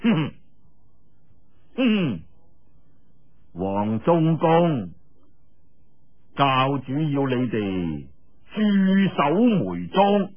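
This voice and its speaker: A man voicing a haughty, sarcastic male cult elder who interrogates in drawn-out, sneering Cantonese. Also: medium pitch, very animated, husky, dull audio, exaggerated voice. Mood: scornful.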